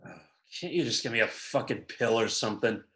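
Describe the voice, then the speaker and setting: A man voicing a hostile, gruff young male patient in a script reading. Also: mid-range voice, a little fast, bright, forceful tone. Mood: surly. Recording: echoey room.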